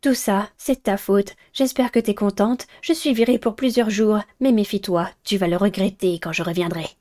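A woman's voice: mid-range voice, fairly even intonation, moderate pace, warm tone, natural voice. A woman voicing a spiteful, threatening teenage mean girl from a visual novel, snide and accusing. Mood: vengeful. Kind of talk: visual novel character reading.

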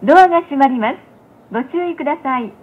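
A woman's voice: expressive, quick pace. A woman reading a scripted onboard train announcement in a formal, polite, carefully enunciated way. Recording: tinny muffled playback, loud train noise. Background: rumbling train carriage.

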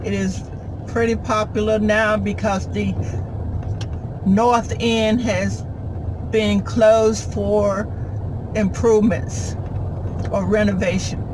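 A woman vlogger narrating an unscripted driving tour in a halting, deliberate, informative way from inside a moving car. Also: mid-range voice, little pitch movement. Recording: loud car and road noise.

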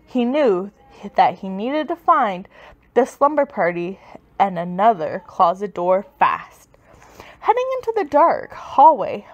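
A woman reading a children's story aloud with expressive, dramatic, emphatic delivery. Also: fairly high pitch, dull audio. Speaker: storybook reader.

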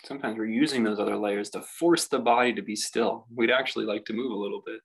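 A man leading a guided meditation as a teacher, speaking reflectively and leaving long pauses. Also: on the low side, vocal fry, slightly rough, rough recording.

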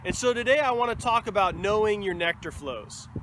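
A man in his thirties, a beekeeper, explaining things in a relaxed, casual way to a phone held at arm's length outdoors. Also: high voice, fairly quick, low-quality recording. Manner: relaxed explanatory talk with fillers. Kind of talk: casual instructional vlog. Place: outdoors at a bee yard. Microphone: phone camera at arm's length. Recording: faint outdoor noise.

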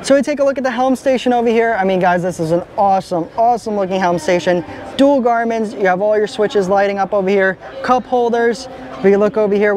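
A young man filming a walkaround boat review at arm's length in a large indoor boat show hall, talking with steady enthusiasm. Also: sits high. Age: young adult. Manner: enthusiastic, upbeat. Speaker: boat vlogger. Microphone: handheld camera at arm's length.

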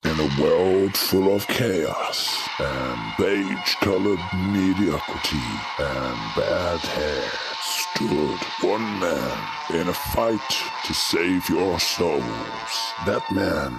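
Man as solemn promo announcer: low, gravelly, bombastic, mock-heroic radio tribute. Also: expressive, extremely slow, hardly any pauses, exaggerated voice. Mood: solemn, grandiose. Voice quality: deep, gravelly. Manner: grave, grandiose. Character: solemn, bombastic trailer-style announcer hailing a hero. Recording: loud music bed under voice.

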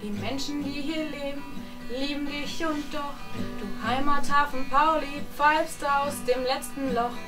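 A woman's voice: sits high, steady tone, a little slow, far from the mic, over loud music, poor audio. A young woman performing a theatrical monologue for a small group in a room. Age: young adult. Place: small lit bedroom with audience.

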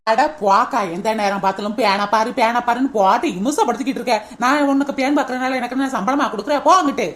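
A woman's voice: fairly high pitch, fast talker, fairly bright tone, close to an everyday voice. A woman voicing an irritable younger village woman in a cartoon, brusque and sarcastic, with a rural southern Tamil Nadu accent. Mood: irritated.

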